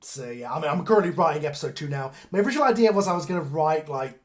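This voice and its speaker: A man, the show's creator, chatting loosely on a group video call, rambling with quick asides. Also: on the high side, animated. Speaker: show creator and host. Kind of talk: casual aftershow chat on a group call.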